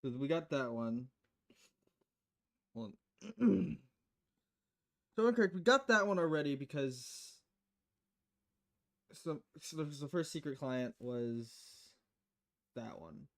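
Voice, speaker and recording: A woman chats casually on a game livestream. Her pitch moves up and down a lot, giving the offhand commentary an animated sound. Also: slow pace, pauses often. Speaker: game streamer. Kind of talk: casual livestream talk, reading visual novel lines aloud.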